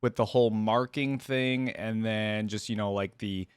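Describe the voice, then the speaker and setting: A man's voice: lowish voice, fairly even intonation, measured pace, clear, resonant tone, no echo, clean studio sound. A man hosting a reaction video, commenting casually and thinking aloud in a tentative, conversational way.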